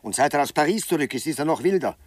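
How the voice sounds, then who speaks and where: An actor playing an unruffled, gossipy local bystander who chats and explains in a 1950s German radio drama. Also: male voice, a little high, animated, very fast, no room sound. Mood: knowing, gossipy. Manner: chatty, explaining. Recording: slightly distant, dry 1950s radio recording.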